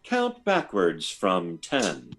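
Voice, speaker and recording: An actor playing a hesitant, probing army psychiatrist in an old-time radio science fiction drama, stammering and deliberate. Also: male voice, low voice, wildly swooping pitch, a bit bright, faint music behind, full character voice. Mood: methodical, hypnotic.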